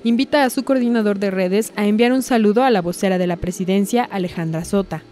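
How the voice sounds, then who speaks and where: A woman's voice: mid-range voice, quick pace, mellow, faint music behind. A woman with a Mexican accent reading scripted news narration.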